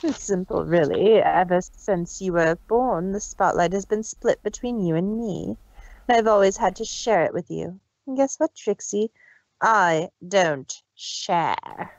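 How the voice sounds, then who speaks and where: A woman's voice: mid-range voice, unhurried, darkish tone, dry recording, dull audio, lightly acted. A woman voicing a cruel, arrogant big-sister mare villain in a dramatic story reading, taunting and condescending. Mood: bitter, smug. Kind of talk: dramatic fan fiction reading.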